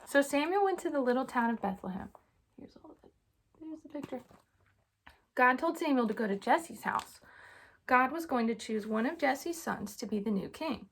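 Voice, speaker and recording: Husky, high-voiced woman reading to kids. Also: normal speed. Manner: casual, child-directed, animated on dialogue. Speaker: parent reading aloud to children. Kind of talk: informal storybook read-aloud.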